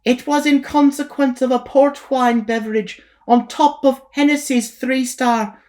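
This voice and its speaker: A tipsy young woman, put on by a male reader, raised high and sheepish as she explains herself. A storybook character voice. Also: steady tone, a little slow, darkish tone.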